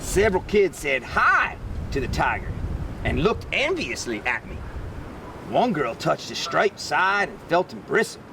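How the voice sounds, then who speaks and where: Male teacher type for picture-book read-aloud: high, animated voice with some fry, recorded a bit off-mic. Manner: animated, pausing to quiz listeners. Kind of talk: expressive children's read-aloud. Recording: distant mic, rumbly background noise.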